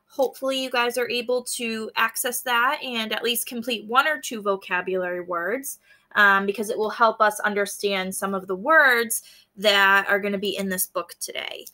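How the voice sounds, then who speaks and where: A woman teacher reading a book aloud to fourth graders, pausing to explain and prompting them to notice and wonder. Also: medium pitch, fairly even intonation, pushed and bright. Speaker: elementary school teacher. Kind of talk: read-aloud lesson for fourth graders, explaining as she goes.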